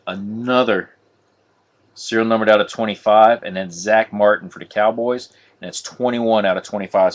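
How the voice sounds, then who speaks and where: A man hosting a live card break on a stream, talking viewers through each pull in a flat, monotone way. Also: lowish voice. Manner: monotone, says so himself; chatty, addresses viewers as guys. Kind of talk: casual live-stream commentary.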